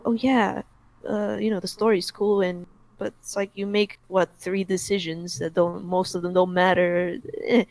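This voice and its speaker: A woman's voice: mid-range voice, little pitch movement, normal speed, darkish tone, dry recording. A woman co-hosting a podcast, chatting casually about games in informal, mildly sweary speech. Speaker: podcast co-host.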